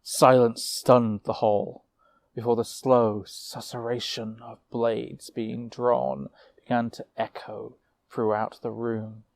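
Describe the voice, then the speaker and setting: A man narrating a fantasy tale as a storyteller, with a measured, theatrical delivery. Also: mid-range voice, little pitch movement, creaky.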